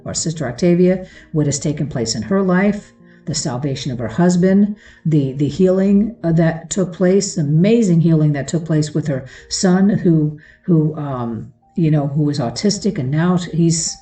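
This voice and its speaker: A woman's voice: low-pitched, mellow. A woman giving an informal devotional talk to her followers, speaking in an earnest and affectionate way. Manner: earnest, affectionate address to listeners. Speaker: host of a religious teaching show. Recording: roomy echo, far from mic.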